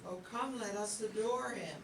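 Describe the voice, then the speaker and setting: A woman's voice: mid-range voice, normal speed, close to an everyday voice. A woman playing a polite, awkward wise king in a live comic radio play, apologetic in manner. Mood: hesitant. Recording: distant echoey room, loud noise.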